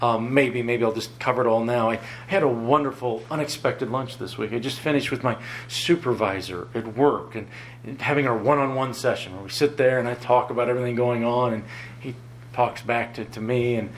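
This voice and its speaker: A man preaching a sermon, earnest and often chuckling, his voice lower than usual after an illness and halting when he ad-libs. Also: steady tone, a little hiss or hum. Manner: earnest, chuckles often, rises in pitch for emphasis; halting, repeats words when ad-libbing.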